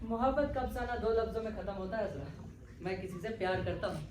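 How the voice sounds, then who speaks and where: An actor playing a dreamy, stubborn young elder brother in love in a stage play, with dramatic, flowery delivery. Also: male voice, sits high, fast talker, lightly acted. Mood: earnest, firm. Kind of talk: Hindi-Urdu stage play acting. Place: stage of a theatre hall. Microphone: far from the actors. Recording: echoey hall, distant mic, faint noise.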